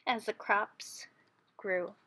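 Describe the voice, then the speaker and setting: A woman storyteller reading a children's picture book aloud in an expressive, dramatic way. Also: medium pitch, extremely slow.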